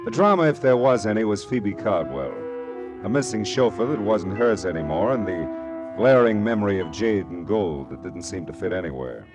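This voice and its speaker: An actor playing a wry, smooth-talking hard-boiled private detective in a 1940s style radio drama, with dry wisecracks. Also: male voice, fairly low pitch, expressive, normal speed, over music, natural voice. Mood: wry narrating.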